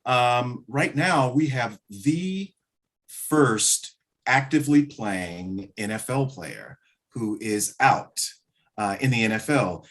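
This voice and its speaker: A man with a medium-pitched voice talks as a director being interviewed. His phrasing is expressive and emphatic, in a conversational style. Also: slow.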